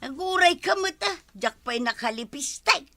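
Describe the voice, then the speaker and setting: A woman acting a vain, nagging village wife with an Ilocano accent in a comedy radio drama, scolding and quick to retort. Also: high voice, lively intonation, pushed and bright, dry recording, faint music behind, full character voice. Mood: vain, bickering. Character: vain, nagging village wife who likes her lipstick.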